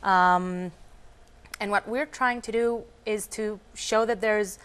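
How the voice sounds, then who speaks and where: A woman's voice: mid-range voice, steady tone, a bit bright, clean tone. Earnest young woman guest. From Argentina, raised in Israel. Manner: earnest, with frequent "um" pauses. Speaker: guest, advocacy emissary. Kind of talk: TV studio interview.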